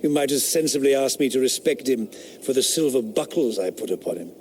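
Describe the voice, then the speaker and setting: An actor playing a sardonic, rationalist eighteenth-century philosopher in a radio drama, speaking in a declamatory, scornful manner. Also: male voice, medium pitch, expressive, fairly quick, darkish tone, a little hiss or hum, close to an everyday voice. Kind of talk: period radio drama acting.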